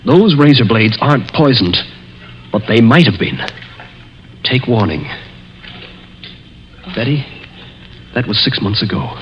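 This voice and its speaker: A man's voice: mid-range voice, natural voice. An actor playing a nerve-racked young man hunted by his stepfather, tense and dramatic in the 1940s radio style. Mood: tense, bitter. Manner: tense, agitated, dramatic delivery. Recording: dull, noisy old 1940s radio recording.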